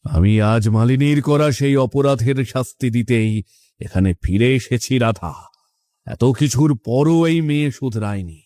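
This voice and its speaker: A man's voice: mid-range voice, moderate pace, warm tone, no room sound, lightly acted. Stern, vengeful ghost of a father, acted by a man. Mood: stern, bitter. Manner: stern, reproachful, accusing. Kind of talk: horror cartoon story acting.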